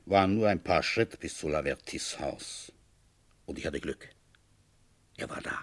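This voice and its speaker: An actor playing a weary police inspector who narrates a radio drama in the first person, husky, dry and terse. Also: male voice, rumbling low, lively intonation, unhurried, dry recording, full character voice. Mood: resigned, dry. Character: feverish, weary male police inspector recounting his case.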